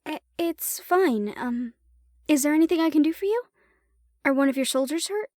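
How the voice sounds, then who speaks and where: A gracious, loyal young lady , played by a woman, in a fantasy audio drama. Her voice is high, recorded dry and close. She speaks politely and gently. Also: expressive, normal speed, dry recording. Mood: hesitant, concerned. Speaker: voice actor. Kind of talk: romantic fantasy audio roleplay acting.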